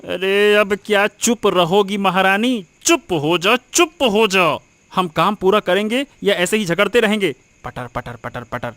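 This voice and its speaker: A man's voice: high voice, lively intonation, unhurried, a bit bright. A man playing an irritable, scheming cartoon husband who scolds with impatient sarcasm. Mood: irritated. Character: irritable scheming husband bickering with his wife. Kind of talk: Hindi moral-story cartoon dubbing.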